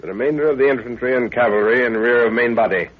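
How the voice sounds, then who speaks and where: An actor playing a middle-aged commander and fond father, brisk and authoritative, in a 1930s radio drama. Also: medium pitch, breathy edge, natural voice. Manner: brisk, authoritative, clipped orders. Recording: tinny, muffled 1930s radio recording.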